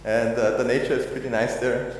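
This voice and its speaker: A man from Austria giving a technical conference talk, halting with many fillers and restarts. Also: medium pitch, little pitch movement, slight background noise. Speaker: conference speaker. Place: conference hall. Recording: echoey hall, long reverb.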